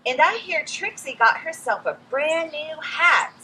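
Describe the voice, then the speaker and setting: A woman reads out a no-nonsense storybook grandmother, upbeat and folksy. The voice is bright and lively, gently chiding with plenty of emphasis, outdoors with some noise. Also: high-pitched, measured pace, voice pushed up, heavily acted, low-quality recording.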